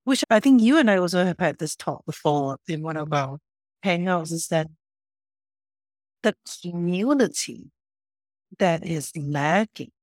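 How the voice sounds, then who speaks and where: Brief: woman podcast host from Singapore, lowish pitch, curious questioner, dry sound. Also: expressive, measured pace, long pauses, darkish tone, no echo. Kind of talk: casual conversation.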